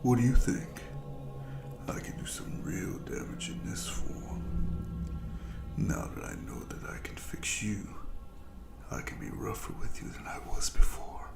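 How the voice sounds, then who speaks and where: Man voicing a cruel, whispery, slow-talking monster. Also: on the low side, huge pitch swings, reverberant, over loud music, heavily acted. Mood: smug, cruel. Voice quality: breathy, whispery. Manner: taunting, mock-sympathetic, drawn-out delivery. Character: cruel, gloating male shapeshifting monster predator toying with prey. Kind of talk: scripted audio roleplay addressed to the listener.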